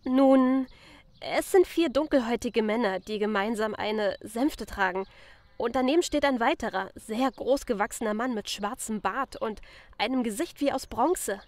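A woman's voice: on the high side, normal speed, darkish tone, no echo, lightly acted. A woman playing a flustered, deferential young lady's maid in an audio play, anxious and earnest. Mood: earnest, hesitant. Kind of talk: audio drama character reading.

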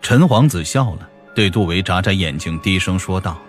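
A man's voice: low-pitched, animated, moderate pace. A man narrating a scripted audiobook story. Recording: music behind the voice.

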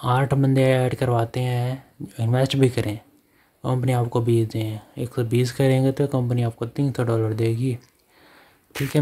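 A man's voice: medium pitch, dark tone. A man, talking through an app step by step as a voice-over for a phone screen recording. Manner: instructional, repeats step-by-step directions. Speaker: online earning channel host.

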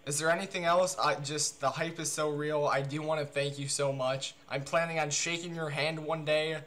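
Bright-voiced male streamer, slightly high. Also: steady tone, moderate pace, slight background noise. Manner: hesitant, repetitive phrasing with stammered starts. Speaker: game streamer making a prank call. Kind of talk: casual, excitable stream chat.

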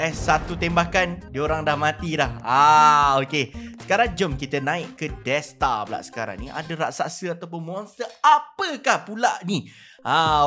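A man with a Malaysian accent hosting a gaming video, giving excited, theatrical and repetitive role-play commentary on his play. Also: fairly high pitch.

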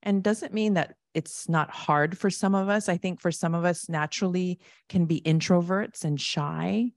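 A woman keynote speaker with a low, gravelly voice answers questions from the audience in a large conference hall. She talks in a loose, conversational way. The sound is dry and close. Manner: conversational, warm thanks, acts out quick quoted asides.

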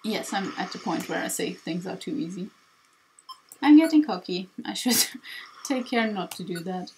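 A woman's voice: medium pitch, animated, normal speed, darkish tone, slight background noise, poor audio. A woman doing casual, self-deprecating video game commentary, often apologetic as she plays. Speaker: let's player. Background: game music.

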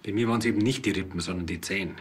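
An actor with a Bavarian accent playing a defiant, gruff shooting club man questioned by police, blunt and defensive. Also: sits low, rapid-fire, slight background noise, lightly acted. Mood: indignant. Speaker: actor playing a murder suspect. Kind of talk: TV crime comedy acting.

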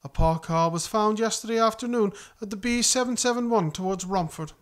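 A man's voice: high-pitched, fairly quick, natural voice. A man playing a formal, composed newsreader, reading a scripted announcement in a radio play. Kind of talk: scripted news bulletin and credits reading.

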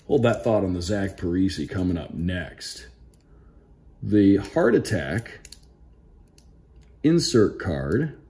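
A man's voice: on the low side, dark tone. A man giving casual, upbeat commentary on an unboxing, reading out names to viewers like a broadcaster. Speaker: radio sports play-by-play broadcaster.

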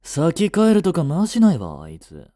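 A man playing a brusque teenage schoolboy, a gruffly caring childhood friend with blunt, casual speech, in a romantic voice drama. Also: on the high side, wildly swooping pitch, moderate pace, mellow, no echo, clean studio sound. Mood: grumbling. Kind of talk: Japanese romantic situation voice drama.

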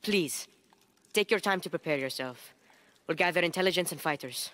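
An actress playing a solemn royal leader of a hidden city, formal and measured, in a video game. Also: female voice, lowish voice, fairly bright tone, close to an everyday voice. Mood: grave. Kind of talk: video game cutscene acting.

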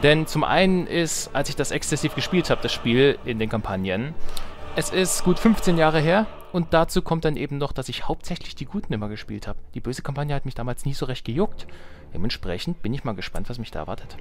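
A man's voice: mid-range voice, animated, brisk. A young adult man doing casual let's play commentary, chatty and thinking aloud as he goes. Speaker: let's player. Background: game music.

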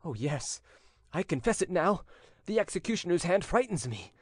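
A man's voice: high voice, sing-song, normal speed, no room sound, voice pushed up. A man voicing a noble young condemned son in a dramatic audiobook, tender, earnest and entreating. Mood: pleading. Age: young adult. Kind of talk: dramatic audiobook character reading.

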